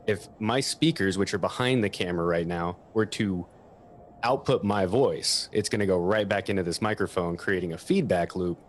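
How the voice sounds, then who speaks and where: A man's voice: sits low, moderate pace, slight background noise. A man giving an informal technical explanation as an audio equipment expert, speaking into a stationary microphone at a desk. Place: at a desk in a room.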